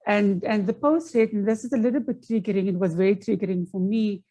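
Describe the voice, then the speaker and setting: South African woman, Afrikaans first language, presenting on a video call from home, earnest and measured, restarts often. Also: medium pitch, dark tone. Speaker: panel presenter. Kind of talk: online conference presentation.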